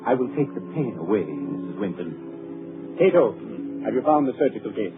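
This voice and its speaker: An actor playing an obsessive, cruel mad surgeon in a 1940s radio drama, commanding and gloating. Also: male voice, fairly low pitch, big pitch swings, normal speed, music in the background. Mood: soothing menace. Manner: gloating, commanding, turns to frantic shouting. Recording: tinny old radio broadcast with hiss.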